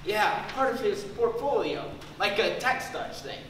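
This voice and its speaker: A man's voice: sits high, big pitch swings, unhurried. A young man on stage playing a laid-back metalhead store worker with slangy slacker talk. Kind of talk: stage comedy acting. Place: school theater stage. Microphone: distant camera in the audience. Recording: echoey theater stage from far off, noisy.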